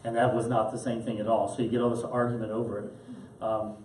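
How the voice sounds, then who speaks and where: A man's voice: lowish voice, level tone, darkish tone, recorded from a distance. Guy lecturing, lots of um and restarts, roomy echo, faint hiss. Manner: thoughtful, halting with fillers and restarts. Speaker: teacher. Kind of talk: lecture answering questions.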